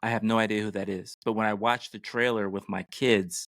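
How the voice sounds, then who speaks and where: A man's voice: on the low side, steady tone, a little fast, fairly bright tone, no room sound, studio quality. A middle-aged man co-hosting a podcast, giving casual, enthusiastic pop culture commentary in a conversational way. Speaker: podcast co-host.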